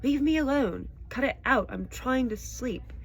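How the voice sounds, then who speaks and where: A woman's voice: high-pitched, expressive, measured pace, slightly rough, faint music behind, pitched above the performer's own voice, heavily acted. A woman voicing a grim, hungry twelve-year-old boy wizard in a storybook reading, muttering his words. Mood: irritated, pleading.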